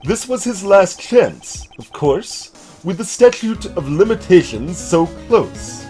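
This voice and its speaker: A man voicing a genial, pompous older gentleman lawyer in a video game, ponderous and probing. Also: sits high, sing-song, slow, faint music behind, heavily acted. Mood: grave, deliberate. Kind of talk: voiced visual novel game dialogue.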